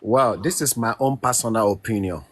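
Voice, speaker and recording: A man giving his personal opinion in a deliberate way during a casual group discussion. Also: fairly low pitch, fairly bright tone, dry recording. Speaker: participant in online discussion.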